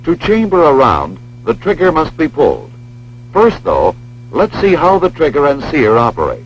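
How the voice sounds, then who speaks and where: An American man reading scripted instructional narration for a training film in a measured, precise, formal manner. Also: a little high, wildly swooping pitch. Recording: dull lo-fi film soundtrack, humming noise.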